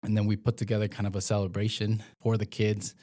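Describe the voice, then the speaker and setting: A young man from Mexico with a fairly low voice, speaking in a relaxed interview. He talks haltingly, full of "uh"s and restarts. His pitch sits lower than most men's. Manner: hesitant, many fillers and restarts. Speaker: community health worker and former pro soccer player. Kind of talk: casual interview conversation.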